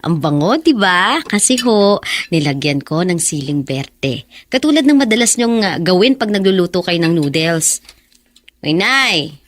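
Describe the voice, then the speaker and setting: A woman's voice: mid-range voice, no room sound. A woman playing a devoted, tender young daughter with emotional, pleading delivery in a Filipino radio drama. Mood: panicked, grief-stricken.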